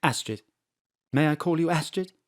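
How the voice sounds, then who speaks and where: Brisk mayor lady, formal and polite but blunt, a woman acting her, lowish voice, home studio mic. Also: lightly acted. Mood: businesslike.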